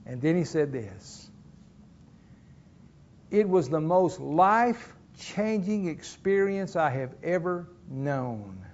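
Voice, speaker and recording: Pastor, middle-aged man, folksy storyteller from the pulpit, high voice, slightly muffled lo-fi recording. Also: animated, slow, a little mellow, a little hiss or hum. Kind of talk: sermon. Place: church.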